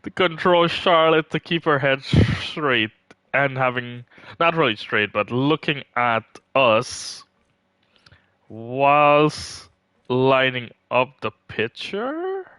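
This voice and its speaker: A man's voice: medium pitch, big pitch swings, slow, sharp tone, clear, resonant tone, no echo. A man doing casual live gaming commentary, chatting and thinking aloud as he plays. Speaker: game livestreamer. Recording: music playing behind. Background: music.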